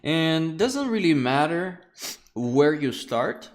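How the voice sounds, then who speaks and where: Animated Russian-speaking man streaming a lecture. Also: a little high, slow pace. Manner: animated, chatty, laughs often, loose wording. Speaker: art lecturer streaming a class. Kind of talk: casual livestream lecture.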